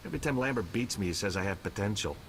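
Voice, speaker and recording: An eager young male trainee from a fantasy game, with a fairly low voice. He is earnest and proudly boastful, with some noise behind him. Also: expressive, fast, a bit bright, poor audio. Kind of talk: video game dialogue.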